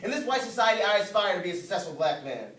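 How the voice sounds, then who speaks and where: Fast high-voiced man performing slam poetry. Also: big pitch swings, fairly bright tone. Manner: rhythmic, rhyming, emphatic delivery. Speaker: spoken word poet. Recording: roomy, distant mic, faint noise.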